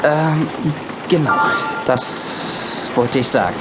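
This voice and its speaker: An actor playing a shy, awkward suitor in an audio drama, halting and stammering. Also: male voice, mid-range voice, animated, loud music behind the voice, poor audio. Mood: embarrassed, hesitant.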